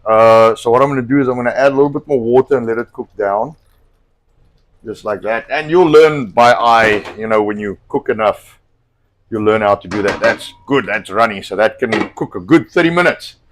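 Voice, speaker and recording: A man's voice: mid-range voice, moderate pace. Blunt, swearing middle-aged male cooking host. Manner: rambling, blunt, swears a lot. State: slightly hoarse from a cold. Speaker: host cooking on a live stream. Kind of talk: casual profane chat while cooking.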